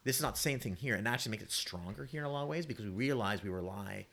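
A man chatting casually as a playwright guest on a panel, telling stories in an animated, emphatic way. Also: mid-range voice, very fast, vocal fry.

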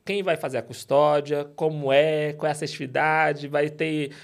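A man from Ceará, a podcast guest talking in an animated, emphatic, exasperated way. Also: on the high side, moderate pace. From Ceará, Brazil. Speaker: guest, payments company director. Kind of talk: casual podcast interview.

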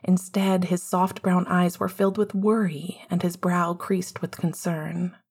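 A woman's voice: lowish voice, level tone, a little slow, darkish tone, breathy edge. A woman reading scripted fiction as the narrator of an audiobook. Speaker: podfic narrator.

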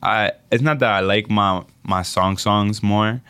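A young man from the Dominican Republic, a rapper being interviewed, speaking reflectively and haltingly with many fillers. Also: fairly low pitch, steady tone, bright, forceful tone, clear, resonant tone, no room sound. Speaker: rapper guest. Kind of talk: casual interview.

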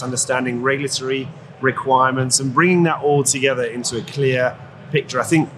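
A man's voice: medium pitch, a bit bright. A man, an executive guest, explaining his field conversationally in an interview at a trade show. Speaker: guest, company chief executive. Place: live at an automotive trade show. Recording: noisy trade show floor. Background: clear ambient noise.

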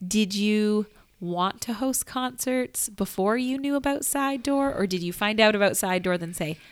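A woman's voice: mid-range voice, a little slow, clear, resonant tone, no echo. A woman hosting a casual live radio interview in a conversational, upbeat way. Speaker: radio show host. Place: church, live broadcast.

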